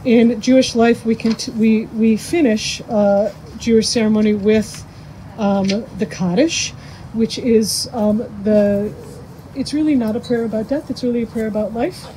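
A middle-aged American woman speaking ceremonially as an officiating rabbi, halting and pausing, into a stand microphone outdoors. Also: on the high side, steady tone, mellow. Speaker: rabbi officiating a graveside funeral. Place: outdoors under a cemetery tent. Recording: muffled outdoor microphone with background noise.

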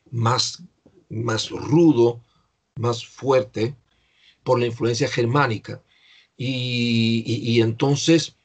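A man's voice: mid-range voice, breathy edge. An older man teaching English in an online class, patiently explaining pronunciation to a student over a video call.

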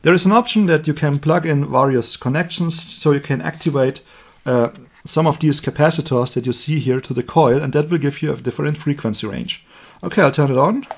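A man with a slightly husky voice giving an unscripted hands-on electronics demonstration, explaining step by step as the presenter. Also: a little high, a little fast. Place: indoors at a table.

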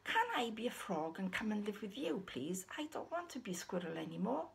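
A woman's voice: mid-range voice, sing-song, fairly quick, gravelly. Woman voicing a meek little squirrel puppet. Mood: pleading, sheepish. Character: young female squirrel puppet, wistful, timid, apologetic.

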